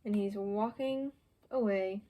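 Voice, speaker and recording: A woman's voice: mid-range voice, slow pace, dark tone, poor audio. A young woman in her teens reading a children's picture book aloud, adding chatty, informal asides for young listeners. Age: teenager. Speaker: student volunteer reader.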